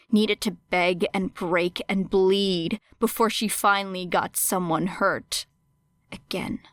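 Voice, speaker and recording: A woman reading scripted dramatic fiction as an audiobook narrator, measured and expressive. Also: mid-range voice, no echo.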